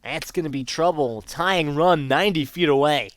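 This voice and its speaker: A man's voice: on the high side, moderate pace, dry recording. A man calling live baseball play-by-play as the announcer of a sports broadcast.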